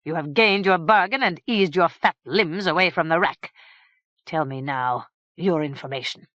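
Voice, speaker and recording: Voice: mid-range voice, normal speed, bright, forceful tone, dry recording, lo-fi sound, close to an everyday voice. An audiobook narrator voicing a harsh, menacing middle-aged king who speaks in cold, curt threats.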